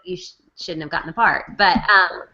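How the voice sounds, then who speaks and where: A woman co-hosts a casual chat over a video call, giving emphatic, blunt opinions. Her voice is bright and sharp, and the call audio comes through dull and lo-fi. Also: fairly low pitch, normal speed, slight background noise. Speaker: podcast co-host, recap writer.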